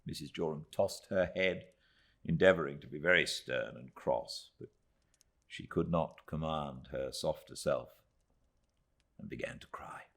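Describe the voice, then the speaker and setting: Deep, gravelly British male narrator. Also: fairly bright tone. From Britain. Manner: measured, dramatic phrasing. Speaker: audiobook narrator. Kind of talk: expressive literary narration.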